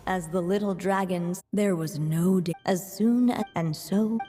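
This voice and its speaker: A woman playing a solemn storyteller, narrating a fairy tale in a measured way for a game. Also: fairly low pitch, warm tone, in a large hall, soft background music, natural voice.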